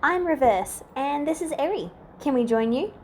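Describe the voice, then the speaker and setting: A woman's voice: high voice, animated, normal speed, slight background noise, voice pushed up. A woman voicing a confident, kindly teenage girl hero in a story reading. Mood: cheerful.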